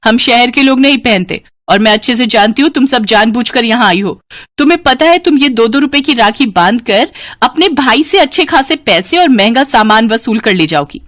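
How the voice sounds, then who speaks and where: A woman plays a money-proud young city wife in a moral cartoon. She speaks in a high, dry-recorded voice, scornful and curt, suspicious of everyone and quick to accuse. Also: expressive, fairly bright tone, no room sound. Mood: scornful, accusing. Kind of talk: Hindi moral cartoon dubbing.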